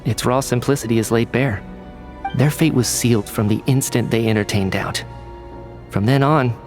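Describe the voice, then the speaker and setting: A man's voice: mid-range voice, natural voice. Earnest, resolute male survivor leader voiced by an actor. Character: resolute male survivor leader, stern and philosophical, urging others upward. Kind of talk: video game voice acting.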